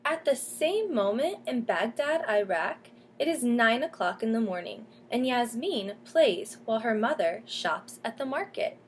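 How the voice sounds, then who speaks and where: Higher-voiced woman reading a storybook aloud. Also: animated, measured pace, few pauses, a little hiss or hum. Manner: explains and asks questions as if to young listeners. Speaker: teacher reading a picture book aloud. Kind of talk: storybook read-aloud with comments to children.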